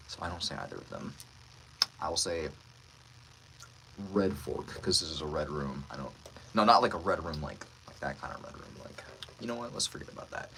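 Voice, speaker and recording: A man's voice: sits low, gravelly, a bit bright, slight background noise, rough recording. A man who works as a voice actor, hosting a casual livestream and politely answering viewer comments with self-deprecating asides.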